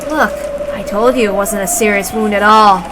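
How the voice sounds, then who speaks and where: A woman voicing a wounded young male servant who is forceful, repetitive and eerily insistent, in a story reading. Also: medium pitch, fairly even intonation, normal speed, background noise, natural voice. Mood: eerily dismissive.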